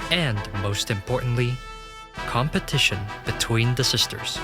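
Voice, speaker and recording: A man delivers scripted narration for a fantasy audio drama. His voice sits at a middle pitch, and music plays clearly underneath.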